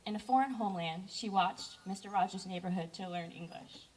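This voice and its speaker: A young woman giving a heartfelt personal speech to campers, earnest and warm in tone. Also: mid-range voice, brisk, husky, recorded from a distance, low-quality recording. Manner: heartfelt, earnest, with brief self-corrections. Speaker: camp director giving a speech.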